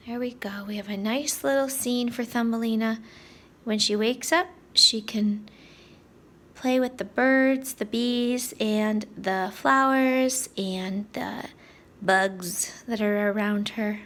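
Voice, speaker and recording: Slow, high-voiced woman teaching young children. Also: fairly bright tone. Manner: sing-song, addresses children directly with simple questions. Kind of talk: story-time lesson for young children.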